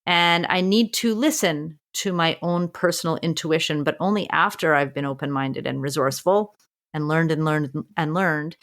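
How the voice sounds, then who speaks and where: A woman's voice: deep voice, normal speed, a bit bright. A woman who is a teacher and podcast co-host, talking earnestly with a strong voice and thinking aloud with frequent restarts. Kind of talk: reflective casual conversation.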